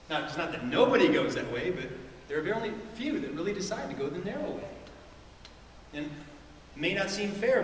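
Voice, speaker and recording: Middle-aged pastor preaching in an echoey hall. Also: male voice, high voice, a bit bright, far from the mic, slight background noise. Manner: conversational preaching with rhetorical questions and chuckles. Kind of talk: sermon. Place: church stage with a large screen. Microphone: far camera in the hall.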